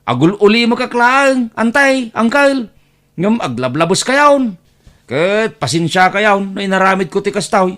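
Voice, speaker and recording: A man's voice: high-pitched, big pitch swings, measured pace, a bit bright, exaggerated voice. A man playing a put-upon young nephew in an audio drama, plaintive and exclamatory, with an Ilocano accent. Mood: hurt, indignant.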